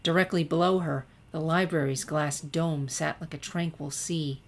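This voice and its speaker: A woman narrator with a low-pitched voice reads fiction aloud. Her delivery is scripted story narration, told in the manner of an audiobook. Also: steady tone, moderate pace. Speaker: narrator, fan fiction reader.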